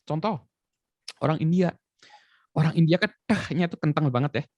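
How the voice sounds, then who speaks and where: A middle-aged man from Indonesia, an expert guest explaining in an animated way with lots of examples and fillers. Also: a little high, moderate pace, no room sound, highs cut off. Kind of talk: conversational interview explanation.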